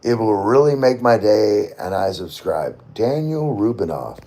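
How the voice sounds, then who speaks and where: A man's voice: fairly low pitch, big pitch swings, a little slow, mellow. A middle-aged man hosting a casual livestream, reading out viewer comments and answering them in a rambling, informal way. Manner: rambling, informal, occasionally swearing. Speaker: livestream host.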